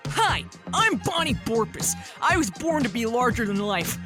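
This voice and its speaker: A woman's voice: on the high side, big pitch swings, normal speed. An actress playing a brash, bawdy plus-size woman in a cheeky radio commercial, delivered with hammy, suggestive salesmanship. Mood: bawdy, upbeat. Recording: music bed behind the voice.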